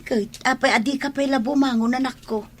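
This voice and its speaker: A woman's voice: high-pitched, steady tone, fairly quick. A woman playing a fussing, candid elderly mother in a radio drama, coaxing and scolding expressively. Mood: nagging, concerned. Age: older.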